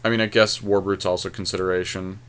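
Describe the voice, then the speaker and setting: A man's voice: low voice, little pitch movement, quick pace, a bit bright, clean tone. A man co-hosting a podcast, talking casually and thinking aloud as he comments on a card game draft. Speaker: podcast co-host.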